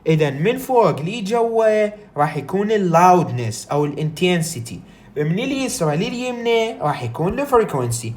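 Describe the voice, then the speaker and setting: A woman with an Iraqi Arabic accent giving a recorded lecture. She reads English text slowly, then explains it in Arabic, often asking and answering her own questions. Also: on the low side, sing-song, darkish tone.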